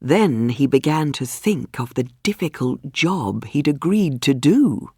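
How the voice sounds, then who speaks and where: A woman reading a scripted storybook for English learners, with measured, clearly phrased storytelling. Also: a bass voice, expressive, warm tone, no room sound, clean studio sound. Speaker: audiobook narrator.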